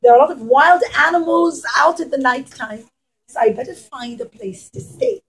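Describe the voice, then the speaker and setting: A woman voicing a gentle, devout traveling rabbi in a children's puppet story, earnest and courteous. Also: sits high, expressive, moderate pace, rough recording. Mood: worried.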